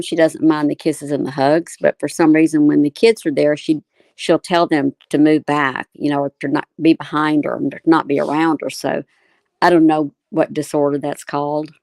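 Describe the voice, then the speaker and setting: Female panelist, even flat intonation, tentative, conversational, no room echo. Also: sits low. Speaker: discussion panelist. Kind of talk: casual discussion.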